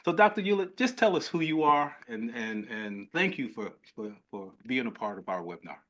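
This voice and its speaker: A man's voice: mid-range voice, animated, moderate pace, fairly bright tone. An older man hosting a medical webinar, talking in an effusive, rambling way with many restarts. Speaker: host, physician.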